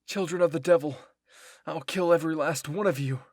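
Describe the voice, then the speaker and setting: A desperate young male soldier, acted out by a man reading a story, yells in battle fury. The voice is raised in pitch, husky and strained, recorded dry. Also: a little fast, no room sound. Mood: furious. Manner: strained, shouting.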